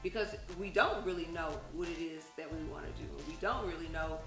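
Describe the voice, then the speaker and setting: Lively woman co-hosting a casual talk show. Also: low voice, brisk, reverberant, over music. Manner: animated, switches into soft soothing voice. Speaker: podcast co-host. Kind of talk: casual chat.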